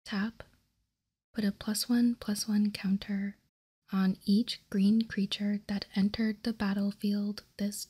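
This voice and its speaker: A woman whispering softly for ASMR, reading aloud in deliberate, measured short phrases close to the microphone. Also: medium pitch. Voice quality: soft, breathy whisper. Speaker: ASMR video host reading trading cards. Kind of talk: whispered ASMR, slow card read-out.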